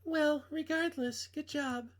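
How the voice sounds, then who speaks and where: A man's voice: extremely high pitch, fairly even intonation, takes it slowly, voice pushed up. A man voicing a caring, protective female friend in a visual novel, reassuring and casual in manner. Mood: friendly.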